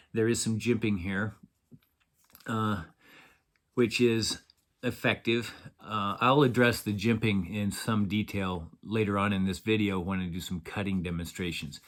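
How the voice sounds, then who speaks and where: A man with a cold and a congested voice, giving a methodical, detailed knife review with many pauses and fillers. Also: fairly low pitch. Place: at a table indoors.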